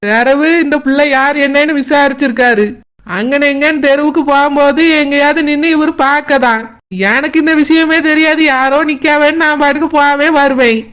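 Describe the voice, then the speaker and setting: Woman voicing a high-pitched, chatty old village grandmother. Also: fairly even intonation, normal speed, a bit bright. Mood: boastful, teasing. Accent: Kanyakumari Tamil. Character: proud, chatty old Tamil village grandmother, fondly bickering. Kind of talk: comic cartoon dialogue, storytelling.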